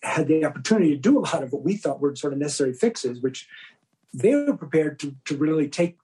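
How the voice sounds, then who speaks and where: A man's voice: on the high side, very animated, fast, dark tone, husky. A man answering as an interview guest in a discursive, explanatory way. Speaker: podcast guest, audio drama director.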